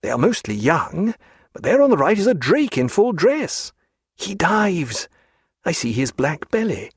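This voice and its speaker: Male narrator as excitable bookish gentleman, high swooping pitch, pompous old-fashioned exclamations, easily outraged, storybook sea tale. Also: measured pace, slightly rough, dry recording, studio quality, exaggerated voice. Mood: delighted. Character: excitable, learned ship's man, keen birdwatcher, easily outraged.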